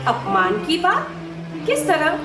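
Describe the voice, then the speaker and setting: Echoey recording, woman playing proud stubborn queen, high swooping voice, imperious, epic drama acting. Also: huge pitch swings, slow, heavily acted. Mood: curious. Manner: imperious, theatrical. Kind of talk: theatrical Hindi mythological audio drama. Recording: echoey, distant playback with loud music.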